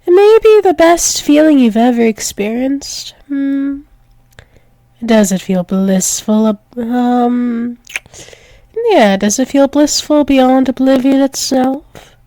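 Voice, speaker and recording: A woman's voice: high voice, mellow, no echo. A woman playing a dominant, doting female werewolf demon lover in an intimate ASMR roleplay, teasing and coaxing. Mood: tender, dominant. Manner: teasing, coaxing, slow repeated praise, often dropping to whispers.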